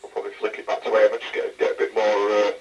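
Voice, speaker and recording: A man's voice: lowish voice, completely flat delivery, racing, soft background music. An English man with an English accent, rambling casually with fillers as the other operator on a radio test. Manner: rambling, hesitant, many fillers. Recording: muffled distant radio transmission.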